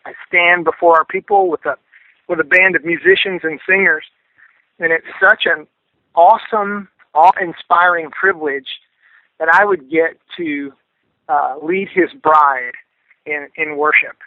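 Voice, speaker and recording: A man, a pastor and worship leader, giving an earnest, reflective interview answer with halting, repeated words, over a phone line. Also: a little high, no echo. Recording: tinny, band-limited phone line.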